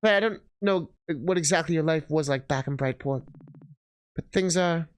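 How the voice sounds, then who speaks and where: A man's voice: fairly high pitch, moderate pace, clear, resonant tone, close to an everyday voice. A man voicing a caring but disappointed woman paladin in a fantasy story, earnest, firm and a little hesitant.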